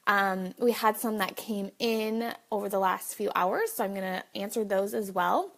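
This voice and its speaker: A woman's voice: mid-range voice, moderate pace, gravelly. A woman hosting a casual live video, chatting directly to viewers, with a slight cough. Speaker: livestream host.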